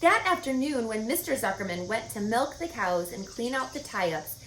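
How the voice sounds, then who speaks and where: Animated woman reading a storybook aloud. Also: fairly high pitch, normal speed. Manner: expressive, explanatory, emphatic. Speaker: storybook reader. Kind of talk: animated children's read-aloud narration. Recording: roomy echo with faint background noise.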